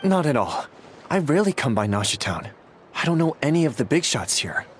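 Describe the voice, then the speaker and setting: A man's voice: on the high side, very animated, fairly quick, a little hiss or hum. A man playing a modest, reluctant but dutiful young guardian in a video game, with a casual, dry, self-doubting manner. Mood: doubtful. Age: young adult.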